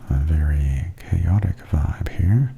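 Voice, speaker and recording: A man giving softly spoken ASMR tour commentary in a breathy half-whisper, calmly and gently describing things as a host. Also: sits low, dull audio, soft background music. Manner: calm, unhurried, gently descriptive.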